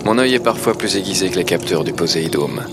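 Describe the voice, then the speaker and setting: Over a clear bed of music comes a low voice. It is an isolated keeper of a deep-sea station , acted by a man, dictating a brooding, measured logbook in an audio drama. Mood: subdued, brooding. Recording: music behind the voice.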